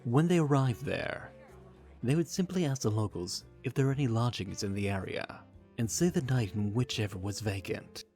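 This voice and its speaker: A man voicing a stoic teenage boy who speaks in a flat, terse deadpan. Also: medium pitch, normal speed, soft background music, close to an everyday voice. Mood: calm. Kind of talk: fan audio drama dub.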